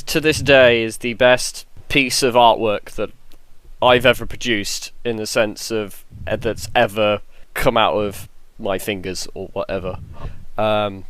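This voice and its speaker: A man with a clear, smooth voice talks in an earnest, reflective way on a casual podcast. The sound is close and dry, with little room to it. His pitch sits at an ordinary middle level for a man. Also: no room sound. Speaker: podcast panelist.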